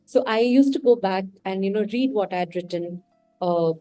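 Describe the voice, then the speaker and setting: Warm-voiced Indian woman, reflective. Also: medium pitch, moderate pace, no room sound, faint music behind. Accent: Indian English. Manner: reflective and candid, talks through her thoughts. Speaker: podcast guest. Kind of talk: casual interview conversation.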